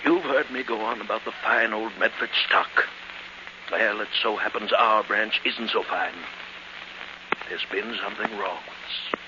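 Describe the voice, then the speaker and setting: An actor playing a paternal, imperious old uncle, speaking formally and theatrically in 1940s radio thriller style. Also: male voice, fairly low pitch, measured pace, lightly acted. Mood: soothing, grave. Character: soothing, imperious old uncle with a family secret. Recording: dull, noisy old 1940s radio recording.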